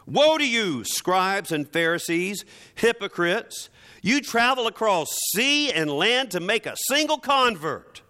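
A man voicing an indignant biblical prophet in a sermon, scolding hypocrites in a declamatory, drawn-out manner. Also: sits high, very animated, pushed and bright, pitched above the performer's own voice, exaggerated voice. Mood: indignant, denouncing.

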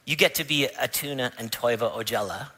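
Man, pastor, bright voice, storytelling sermon, emphatic at times, church service. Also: mid-range voice, a little fast, slightly rough, poor audio. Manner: storytelling, rising to emphatic preaching. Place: church sanctuary.

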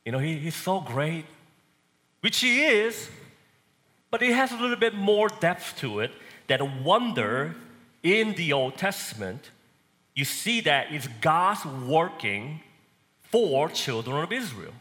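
Man, Korean accent, preacher, animated pitch, loud then soft emphasis, long hall reverb. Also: slow. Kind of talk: sermon.

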